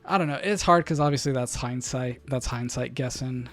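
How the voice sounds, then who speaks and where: A man streaming a card game, thinking through his plays out loud to his chat and breaking into loud excited outbursts. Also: mid-range voice, normal speed. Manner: muses over choices, bursts into loud excited laughs. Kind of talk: thinking aloud while playing, talking to chat.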